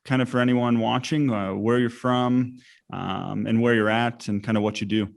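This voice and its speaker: A man's voice: mid-range voice, fairly even intonation, moderate pace, creaky. A man with an American accent, casually hosting an interview podcast. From United States. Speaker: podcast host.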